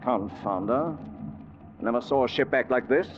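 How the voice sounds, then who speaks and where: An actor playing an earnest, lovestruck ship's officer, emphatic and emotional, in an old-time radio drama. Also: male voice, mid-range voice, normal speed, natural voice. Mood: alarmed. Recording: muffled vintage radio recording.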